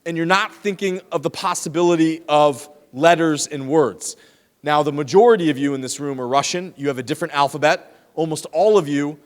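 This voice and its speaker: A man with a slightly raised pitch lectures students, teasing them and addressing the room directly. His voice comes through a handheld microphone in a big lecture hall. A long reverb trails each phrase. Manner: lively, teasing, talks straight to the audience.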